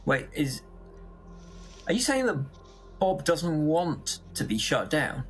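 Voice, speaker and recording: A man playing a cautious, flustered space factory manager in an improvised sci-fi drama, halting and repeating his words. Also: a little high, big pitch swings, faint music behind. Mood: flustered, worried.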